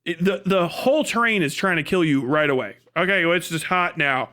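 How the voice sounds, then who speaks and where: A man's voice: fairly high pitch, expressive, fairly quick, pushed and bright. An American man live streaming, telling rambling, casual stories in profane, slangy language with comic exaggeration. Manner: breaks into laughter at own stories.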